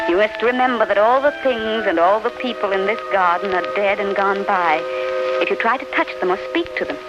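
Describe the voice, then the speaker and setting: An actress playing a gracious, assured duchess, gently instructing and poised, in 1930s radio drama style. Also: female voice, on the high side, natural voice. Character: gracious, assured duchess guiding a man through dreams. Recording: dull 1930s radio recording, loud music behind.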